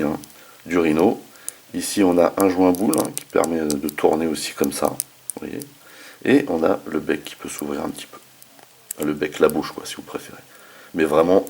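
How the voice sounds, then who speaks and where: A man's voice: low voice, vocal fry, darkish tone, breathy edge. A man hosting a casual hands-on toy review, talking informally with slangy enthusiasm. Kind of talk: casual hands-on product demonstration.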